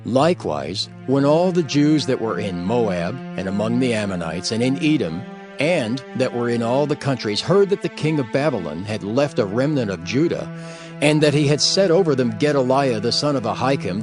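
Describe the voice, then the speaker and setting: Low-pitched male scripture narrator. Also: expressive, moderate pace, over music. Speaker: audio Bible narrator.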